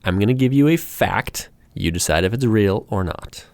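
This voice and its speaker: A man's voice: on the low side, moderate pace, no echo. A man co-hosting a casual podcast, playfully quizzing his co-host with trivia questions. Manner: lively, playful quizmaster.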